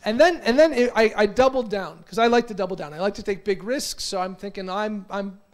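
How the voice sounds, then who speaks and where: An American man in his forties giving an energetic motivational conference talk, telling stories and often repeating words. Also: high voice, a little fast. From United States. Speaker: conference speaker. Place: conference hall.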